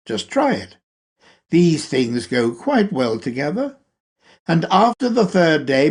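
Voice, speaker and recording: Male lecture reader: unhurried delivery, lively melodic swings, measured emphasis on key phrases. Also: fairly high pitch. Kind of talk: read-aloud spiritual lecture.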